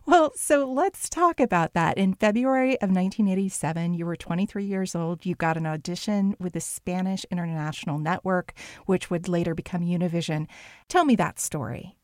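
A woman hosting a radio show, reading a scripted introduction and asking interview questions in a measured way. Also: on the low side, dry recording, studio quality.

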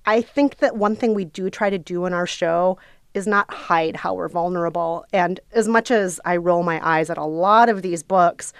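A woman's voice: on the low side, moderate pace, smooth, no echo, clean studio sound. A woman podcast co-host talking casually as an interview guest. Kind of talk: casual chat.

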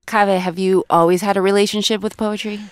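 A woman's voice: medium pitch, fairly even intonation, quick pace, smooth, dry recording, clean studio sound. A woman of Iranian heritage co-hosting a podcast, chatting and interviewing with playful banter. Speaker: podcast co-host. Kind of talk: casual chat and interviewing.

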